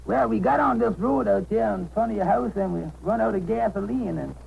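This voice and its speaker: An actor playing a humble, worried, polite taxicab driver with folksy ungrammatical speech in a 1930s radio comedy. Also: male voice, sits high, a little fast, vocal fry, a little mellow. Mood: polite, hesitant. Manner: folksy ungrammatical dialect, hesitant and deferential. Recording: noisy 1930s radio broadcast.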